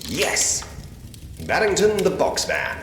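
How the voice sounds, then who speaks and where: A man's voice: high-pitched, wildly swooping pitch, takes it slowly, heavily acted. A man playing an inquisitive, articulate investigator who muses to himself in a Victorian ghost story point-and-click game. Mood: curious. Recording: roomy, long reverb, noisy ambient bed.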